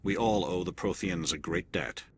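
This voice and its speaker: A man's voice: low voice, moderate pace, slight background noise, natural voice. Nihlus, a man playing a cool turian agent. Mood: measured. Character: male turian alien agent, cool and measured. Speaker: elite agent observing a mission. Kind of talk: video game cutscene acting.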